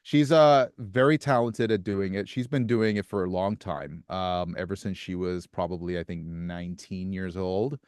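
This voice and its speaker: Thirties male guest, low and smooth, close dry mic, soft-spoken with halting restarts. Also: dry recording. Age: 30s. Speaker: musician guest. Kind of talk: casual interview chat.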